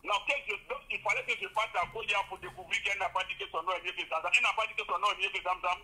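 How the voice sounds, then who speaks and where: A man's voice: high-pitched, racing, bright, forceful tone. A man, an imam, arguing a religious point over a phone call in an insistent, questioning way, cutting in on the other speaker.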